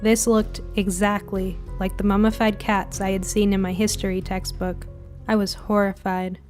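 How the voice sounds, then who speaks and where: A woman's voice: mid-range voice, level tone, moderate pace, darkish tone, soft background music. A woman reading a scripted first-person spooky short story as its narrator.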